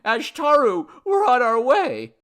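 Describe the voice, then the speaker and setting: A man voicing an excitable, exultant young scholar in a story, eager and babbling. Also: fairly high pitch, a little slow, voice pushed up, full character voice. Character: excitable, exultant male archaeologist in love.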